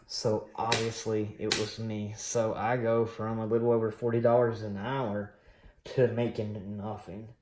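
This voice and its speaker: A man in his late thirties rambles through a personal story for his coin collecting vlog. He talks slowly and loosely, with lots of pauses and fillers. His voice echoes around a small room. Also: fairly low pitch, flat delivery, darkish tone, slight background noise. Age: late 30s. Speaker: coin collecting channel host. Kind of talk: casual personal storytelling while unboxing.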